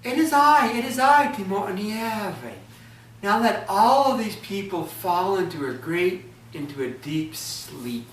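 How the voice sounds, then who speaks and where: A storyteller voicing a vengeful ogress woman who chants and scolds in a high voice, heard in an echoey room from a distance. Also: expressive, slow pace. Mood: chanting. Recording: echoey room, from far off, faint ambience.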